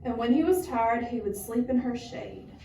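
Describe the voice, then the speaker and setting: A woman's voice: fairly high pitch, lively intonation, normal speed, warm tone. A young woman student teacher reading a storybook aloud in a classroom lesson. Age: young adult. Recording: roomy, distant mic with faint noise.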